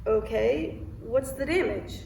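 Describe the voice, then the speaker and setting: Woman acting a slow, calm, concerned confidante. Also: fairly high pitch, vocal fry, slight background noise, exaggerated voice. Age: young adult. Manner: soothing, measured. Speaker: student actor. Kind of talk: student stage play acting. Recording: echoey room, distant mic.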